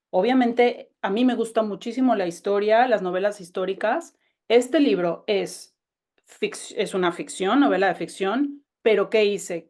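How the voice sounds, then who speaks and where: A woman's voice: mid-range voice, darkish tone. A woman novelist with a Mexican Spanish accent, talking about her book in a casual, enthusiastic way, with many restarts, on a video call. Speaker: novelist guest. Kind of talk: casual conversation over a call.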